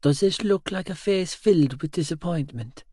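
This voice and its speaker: A man voicing a frail, tender but firm old great-grandmother in an audiobook, speaking softly and affectionately. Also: on the high side, no echo, studio quality, pitched above the performer's own voice, lightly acted. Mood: tender, tearful. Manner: hushed, slow, affectionate. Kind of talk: audiobook character dialogue.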